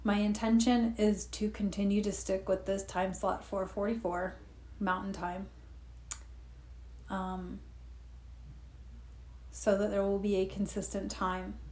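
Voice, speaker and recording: A woman guiding a relaxed live meditation session, gently talking, with personal asides, sniffly from allergies. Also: mid-range voice, slow, long pauses. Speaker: meditation guide. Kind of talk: guided meditation talk. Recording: echoey room with faint noise.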